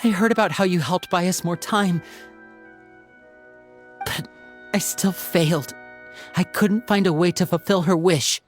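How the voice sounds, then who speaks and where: A remorseful, earnest youngster acted by a woman in a video game drama. The voice is on the low side and apologetic, with light music underneath. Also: a little slow, pauses often, lightly acted. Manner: apologetic, pleading. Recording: music behind the voice.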